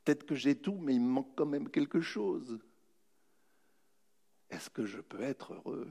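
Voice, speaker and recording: A man giving a humorous, anecdotal motivational talk, telling his story with dramatic pauses. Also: mid-range voice, animated, gravelly, warm tone, slightly rough. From raised bilingual French-German, in a French-German family. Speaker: motivational speaker. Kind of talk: anecdotal public talk to an audience.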